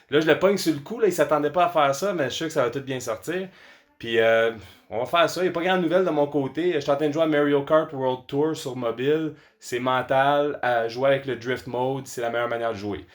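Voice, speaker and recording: A man's voice: medium pitch, fairly quick. A man with a Quebec French accent hosting a casual gaming chat in an informal, slangy way. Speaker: podcast host.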